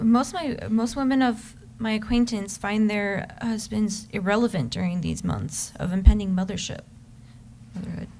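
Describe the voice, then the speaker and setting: A woman reading aloud as an adoring, yearning wife, ardent and measured in a staged love-letter reading, sometimes stumbling. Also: fairly high pitch, warm tone. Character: yearning, adoring pregnant wife writing to her husband.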